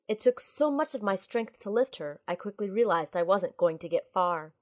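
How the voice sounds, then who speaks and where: A woman's voice: mid-range voice, lightly acted. A woman playing an earnest, anxious young field operative, acting and narrating in the first person in an audio drama. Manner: earnest, urgent.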